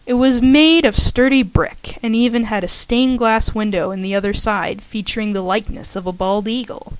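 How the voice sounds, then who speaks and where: A woman's voice: on the high side, steady tone, moderate pace, clean tone, no echo, poor audio. A woman carefully reading a story aloud, slightly shaky in her delivery. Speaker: fanfiction audiobook reader.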